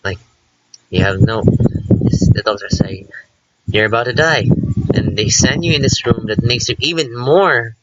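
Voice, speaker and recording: A man's voice: medium pitch, a little slow, long pauses, noisy background. A man doing a casual let's play, reading and chatting in a rambling way, stumbling over his words. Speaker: let's player. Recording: dull lo-fi mic, loud music behind. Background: loud music.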